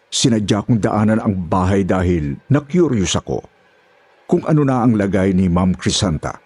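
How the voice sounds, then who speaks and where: Low-voiced male horror storyteller. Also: a little mellow, breathy edge. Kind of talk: scripted dramatic narration.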